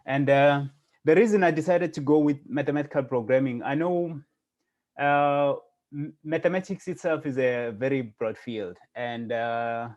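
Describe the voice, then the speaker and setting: A man's voice: mid-range voice, darkish tone. A middle-aged man from South Africa giving an academic seminar over a video call, speaking hesitantly with many fillers and restarts. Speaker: guest lecturer.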